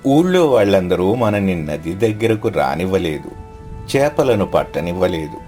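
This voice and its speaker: A man acts out a poor, devout fish seller in a moral story. He is humble and respectful, with a lowish voice over faint music. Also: a little mellow. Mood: glad, grateful. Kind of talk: scripted story dialogue.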